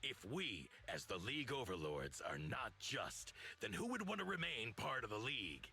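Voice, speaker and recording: An actor playing a stern, dignified overlord of a motor league in a video game, speaking in a commanding, formal way. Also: male voice, mid-range voice, big pitch swings, normal speed, gravelly, sharp tone, heavily acted. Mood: stern, reproachful.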